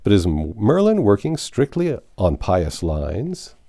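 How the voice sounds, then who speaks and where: A man's voice: fairly low pitch, huge pitch swings, unhurried, warm tone, full character voice. A man reading a storybook, playing a confident, wry magician who reasons with polite self-assurance. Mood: firm, wry.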